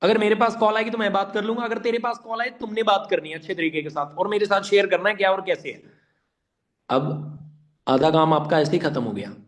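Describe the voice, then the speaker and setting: A man with a slightly high voice teaches an online meeting informally. He speaks emphatically, repeats key points and puts questions straight to his listeners. English words are mixed into his speech. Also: fairly quick. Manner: emphatic and repetitive, addressing listeners directly with rhetorical questions. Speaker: online network-marketing trainer.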